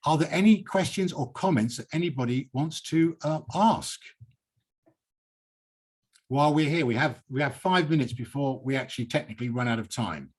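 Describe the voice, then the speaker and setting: British man, husky and emphatic. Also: fairly high pitch, moderate pace. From Britain. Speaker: host of a poetry reading. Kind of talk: poetry recital and enthusiastic commentary.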